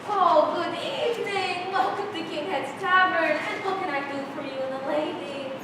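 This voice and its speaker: Heavy noise and echo surround a woman acting a high-voiced country innkeeper in a radio play. The manner is chatty, folksy and welcoming, picked up from far across a hall. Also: moderate pace. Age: teens. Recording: very noisy echoey hall from far off.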